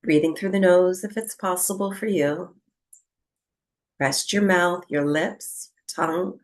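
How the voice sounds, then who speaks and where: A woman teaching breathwork, giving gentle, unhurried guided relaxation instructions with long pauses between phrases. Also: fairly low pitch.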